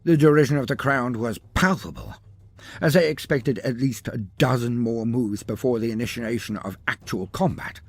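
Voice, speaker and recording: A man's voice: mid-range voice, moderate pace, slightly rough. A man narrating a scripted story in a dramatic, grandiose, theatrical manner.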